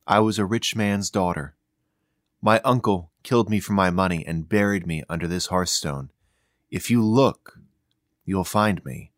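A man with a very deep voice reads a spooky folk tale as a storyteller. The recording is dry and close, with little sound of any room. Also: no echo. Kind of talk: reading a folk ghost story.

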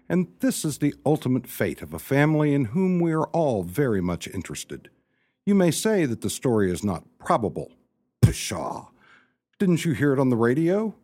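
A man's voice: a little high, mellow, no echo. A man narrating a radio play from a script in a wry storyteller's manner. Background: music.

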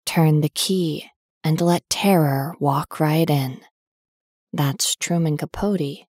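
A woman's voice: low voice, slow pace, darkish tone, slightly rough, no echo, studio quality. A woman announcer delivering a scripted opener for a horror podcast.

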